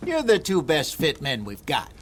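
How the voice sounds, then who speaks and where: A man's voice: fairly high pitch, huge pitch swings, slow pace, a bit bright, slight background noise, exaggerated voice. An actor playing a concerned, hesitant older man of an outlaw camp in a western game. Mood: worried. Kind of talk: cinematic western game acting.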